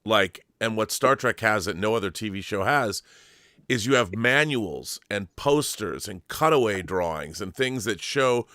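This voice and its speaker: A man's voice: lowish voice, animated, unhurried, pushed and bright, no room sound, clean studio sound. A man hosting a casual interview, talking like an enthusiastic fan and making his points emphatically, often restarting as he goes. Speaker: podcast host, interviewer.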